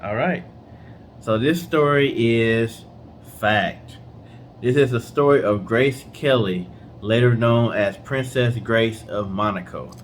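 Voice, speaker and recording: A man's voice: medium pitch, slow, a little hiss or hum. A man co-hosting a storytelling show in a casual, conversational style. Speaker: co-host of a storytelling guessing show.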